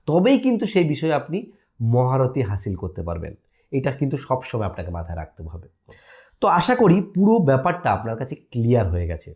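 A man's voice: mid-range voice, darkish tone. A man giving a casual step-by-step app tutorial, conversational and explanatory, often checking that the listener follows. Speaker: tutorial presenter.

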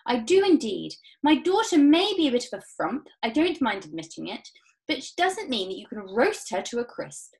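A woman's voice: medium pitch, very animated, unhurried, few pauses, low-quality recording. A woman voicing a huffy, pompous father in a storybook, blustering in formal phrases. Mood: indignant, cross. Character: huffy, indignant, well-to-do father. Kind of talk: dramatic storybook reading.

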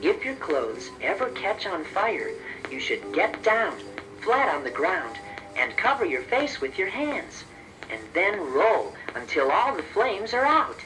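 A man's voice: high voice, sing-song, measured pace, far from the mic, over music, poor audio. A man voicing a friendly, patient teddy bear, explaining things reassuringly in a children's educational story. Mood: patient, cheerful. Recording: children's cassette tape.

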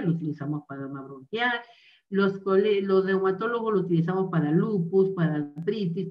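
A woman doctor giving an online medical lecture, explaining carefully and checking in with her listeners. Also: on the low side. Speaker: rheumatologist giving a talk. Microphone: video call microphone. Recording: dull distant video call audio.

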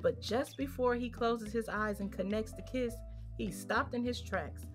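A woman's voice: fairly high pitch, unhurried. A woman narrating an audio drama, reading scripted present-tense scene descriptions. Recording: long reverb, music behind. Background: music.

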